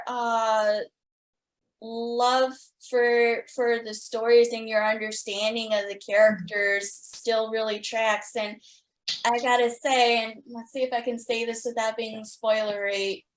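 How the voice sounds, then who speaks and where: High-voiced woman chatting as podcast host. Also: low-quality recording. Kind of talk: casual interview chat.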